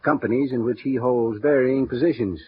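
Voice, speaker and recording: An actor playing a smooth, guarded male insurance executive who speaks in measured, confiding tones, in a 1950s radio drama. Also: mid-range voice, natural voice. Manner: measured, confiding, halting with small pauses. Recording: muffled vintage radio broadcast with faint hiss.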